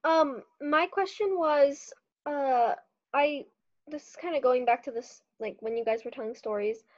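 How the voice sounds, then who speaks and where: A woman's voice: high-pitched, unhurried, no echo. A woman asking a question on a video call, hesitant and searching for words. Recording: dull dry video call audio.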